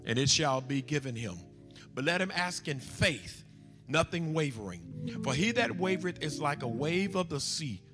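A man in his forties with a Southern US accent, preaching a sermon at a pulpit with a handheld mic and reading scripture slowly and deliberately. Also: a little high. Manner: leads the congregation in call-and-repeat, reads scripture slowly and deliberately. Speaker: pastor. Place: large church sanctuary, standing at a pulpit. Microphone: handheld microphone. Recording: church hall with long reverb, faint music.

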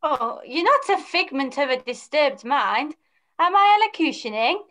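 A woman's voice: high-pitched, expressive, normal speed, bright, forceful tone. A woman playing a naive, eager young pantomime hero with stagey exclamations, reading a script over a video call. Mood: startled, eager. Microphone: computer mic on video call.

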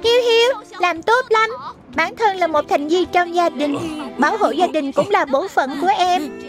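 A woman's voice: very high voice, normal speed. A woman voicing a small, encouraging lion sprite who guides children in a cartoon, childlike and instructive. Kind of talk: dubbed children's cartoon acting. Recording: music behind the voice.

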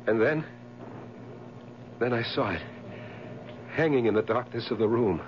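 A man plays a nerve-racked, obsessive husband in a seventies radio play. His delivery is halting and melodramatic, repeating itself as if haunted. The recording is muffled with some hiss. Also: medium pitch, animated, close to an everyday voice. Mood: shaken, dread. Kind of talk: 1970s radio drama acting. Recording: muffled, hissy 1970s radio broadcast recording.

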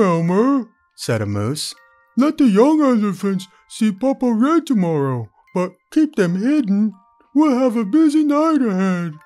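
Guy reading a kids picture book, bouncy lively voice, soft music behind. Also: high-pitched. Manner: animated, playful voices for characters. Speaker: puppet show host reading aloud. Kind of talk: children's read-aloud.

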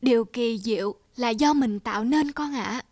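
A woman voicing a gentle, encouraging mother in an animated tale, speaking with patient, soothing manner. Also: fairly high pitch, moderate pace, no echo, studio quality. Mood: gentle, reassuring. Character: gentle, encouraging mother of a young pianist daughter. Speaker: mother character. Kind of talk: animated fairy-tale dubbing.

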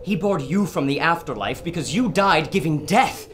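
An actor playing an earnest, learned doctor who makes peace, speaking dramatically in an audio adventure. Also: male voice, on the high side, expressive, moderate pace, fairly bright tone, slight background noise. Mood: earnest, persuading. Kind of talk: radio adventure drama acting.

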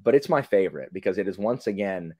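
Animated rambling man in his forties. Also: low voice, fast talker, dry recording, dull audio. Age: 40s. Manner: animated, rambling, self-interrupting. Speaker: podcast guest. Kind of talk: casual video-call chat.

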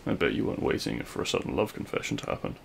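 A man playing a regretful, lovelorn supervillain in an audio roleplay, speaking in a hushed, halting, wistful way. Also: low voice, big pitch swings, vocal fry, a little hiss or hum, exaggerated voice. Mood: confessional. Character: regretful lovelorn male supervillain addressing his fallen hero. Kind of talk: scripted ASMR audio roleplay monologue.

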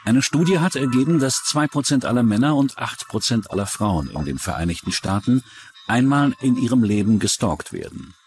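A man's voice: mid-range voice, expressive, normal speed, background noise. A man reading scripted true-crime documentary narration. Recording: music bed under the voice. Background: music.

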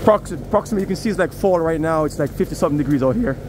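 A man's voice: on the high side, lively intonation, very fast, noisy background. A man with a New York accent, an HVAC technician, walks viewers through a service call in a casual, step-by-step way while filming outside a house. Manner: casual, explains step by step and addresses viewers directly. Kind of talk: on-the-job video walkthrough. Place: outdoors beside a house at an air conditioner unit.

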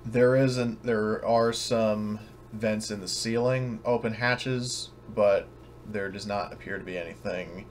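A man narrating a tabletop game as game master in a casual, halting, self-correcting way. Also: fairly low pitch, fairly even intonation. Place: at home, online voice session. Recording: clearly noisy home recording.

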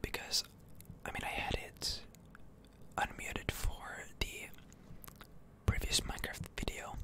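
A game streamer speaking in a breathy, unvoiced whisper, giving soothing ASMR commentary with drawn-out words. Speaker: video game streamer and channel host.

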